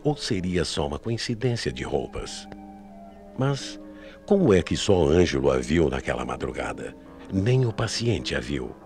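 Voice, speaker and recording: A Brazilian man narrates a radio mystery with dramatic, scripted delivery. His voice is extremely deep, and music plays clearly behind it. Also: darkish tone. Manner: dramatic storytelling with rhetorical questions.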